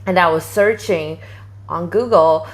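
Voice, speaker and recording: Interview guest: woman, 30s, low voice, chatty and full of fillers. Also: unhurried. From Taiwan. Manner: chatty, many fillers, quoting herself animatedly. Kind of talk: casual interview conversation.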